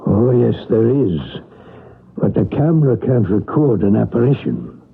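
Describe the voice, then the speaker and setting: An ominous old priest, gravelly and husky, lively pitch, old radio play. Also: male voice, measured pace, heavily acted. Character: grave older male village priest, ominous. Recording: old radio broadcast, narrow band.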